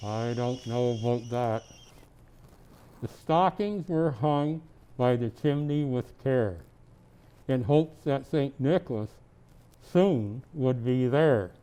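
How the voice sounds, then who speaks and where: A man playing a jolly, kindly old Santa Claus, reading from a storybook slowly and deliberately with chatty asides. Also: medium pitch, animated, mellow, clear, resonant tone, poor audio. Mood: slow, wondering. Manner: slow, deliberate, kindly, grandfatherly.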